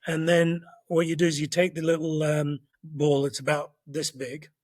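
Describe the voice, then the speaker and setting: A man's voice: a little high, moderate pace, no echo, clean studio sound. A middle-aged man, a chef born in Kenya and raised in London, talking through a recipe step by step in a casual interview, with frequent ums. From born in Kenya, grew up in London. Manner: relaxed, explains recipes step by step with many ums. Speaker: chef guest.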